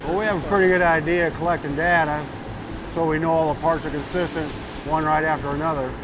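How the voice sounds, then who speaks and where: A factory worker speaking in a plain-spoken, practical way in an interview. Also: male voice, on the high side, steady tone, fast, music in the background, poor audio.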